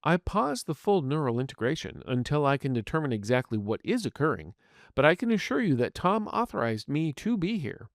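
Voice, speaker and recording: A man's voice: fairly high pitch, sing-song, fairly quick, no room sound, clean studio sound. A man voicing a polite, earnest artificial intelligence medical assistant in an audio story, speaking formally and courteously. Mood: puzzled, polite. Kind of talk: scripted audiobook narration in character.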